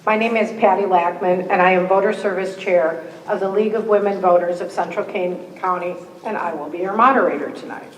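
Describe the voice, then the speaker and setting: Hoarse woman moderating a forum in an echoey hall. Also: lowish voice, brisk, hardly any pauses, slight background noise. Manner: friendly, joking asides to the audience. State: slightly hoarse, losing her voice. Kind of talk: reading scripted opening rules with casual asides. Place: meeting room with a live audience. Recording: echoey hall, distant mic.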